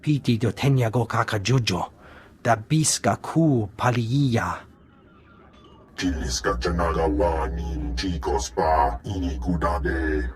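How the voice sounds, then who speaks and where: An actor voicing a male alien palace advisor in a video game, declaiming in an invented tongue with a husky voice. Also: sits low, slow pace. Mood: grave. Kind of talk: dramatic video game acting.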